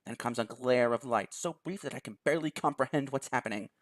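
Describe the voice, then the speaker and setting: A video host reading a visual novel aloud and commenting on it in a dramatic, expressive manner. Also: medium pitch, quick pace, no echo.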